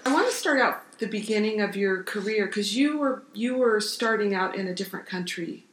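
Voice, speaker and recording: A woman's voice: medium pitch, lively intonation, a little fast, reverberant, slight background noise, rough recording. A woman hosting a radio interview, asking loose, casual questions with fillers.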